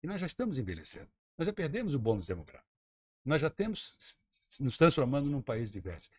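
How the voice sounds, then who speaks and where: A man from southern Brazil makes his case as a radio guest, pressing his points with emphasis. His voice is slightly husky, and his pitch rises and falls freely. He sometimes repeats words as he gathers his thoughts. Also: big pitch swings, fast talker. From Santa Catarina, Brazil. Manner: emphatic, argumentative, repeats words while thinking. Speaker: interview guest, former tourism minister. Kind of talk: radio talk-show interview.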